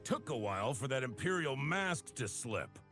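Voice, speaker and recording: An actor voicing an ancient, battle-hardened male warrior in a video game, gravelly, with a wry, nonchalant delivery. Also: mid-range voice, sing-song, moderate pace, a bit bright, soft background music. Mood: wry, teasing. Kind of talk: video game character voice lines.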